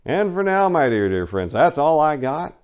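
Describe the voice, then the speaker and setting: A man's voice: fairly high pitch, very animated, moderate pace, a little mellow, clear, resonant tone. A man reading his own book as a dramatic first-person narration, with a colloquial, cocky storytelling manner.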